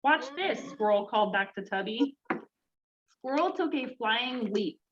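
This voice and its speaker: A high-pitched woman speaks as a children's storytime reader, expressive and directed at young listeners. The recording is muffled, with clear noise behind her. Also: unhurried. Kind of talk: read-aloud children's story, then casual chat with children. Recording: dull lo-fi sound, clear background noise.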